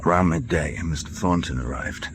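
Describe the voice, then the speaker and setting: Very deep-voiced, reflective former prisoner. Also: male voice. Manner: measured, reflective storytelling. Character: weary American former prisoner, reminiscing gently to a woman. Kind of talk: radio drama acting. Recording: clearly noisy background.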